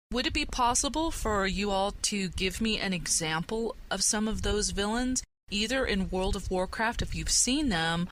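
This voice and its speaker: A woman's voice: lowish voice, a bit bright, a little hiss or hum, rough recording. A woman hosting a podcast, presenting topics and leading discussion. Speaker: podcast host.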